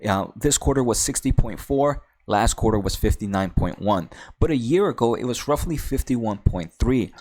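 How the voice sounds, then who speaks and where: A man's voice: mid-range voice, animated, normal speed, few pauses, a little mellow. A man hosting an investing video, explaining things informally and step by step as commentary for viewers. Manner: informal, walks through numbered questions step by step. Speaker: host of a stock investing video channel.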